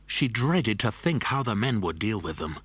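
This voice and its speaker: A man's voice: lowish voice, big pitch swings, moderate pace, fairly bright tone, husky, no echo. A man narrating a scripted children's adventure story. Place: dry studio.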